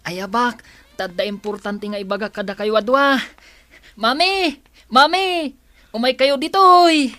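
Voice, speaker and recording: A woman's voice: a little high, unhurried, fairly bright tone, no room sound. A woman with a Filipino accent playing an earnest, questioning schoolboy son in a radio drama. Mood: urgent, calling.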